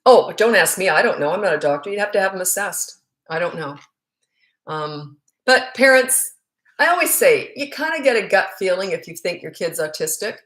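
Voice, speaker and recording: Middle-aged Canadian woman coach, frank, rambling live Q&A talk, mid-pitched voice. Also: lively intonation, moderate pace, a bit bright. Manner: chatty, frank and digressive. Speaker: parenting coach. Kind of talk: live Q&A answering viewer comments.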